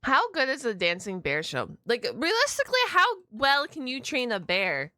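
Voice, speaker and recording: Animated, bright-voiced woman reacting casually. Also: a little high, normal speed, no echo, studio quality. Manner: hesitant, thinking aloud with frequent "like". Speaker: reaction video host.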